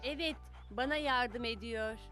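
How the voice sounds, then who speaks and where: A woman playing a kind, patient grown-up in a preschool cartoon dub, explaining things simply and gently to a child. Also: fairly high pitch, measured pace. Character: kind, patient blind woman with guide dog. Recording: music under the dialogue.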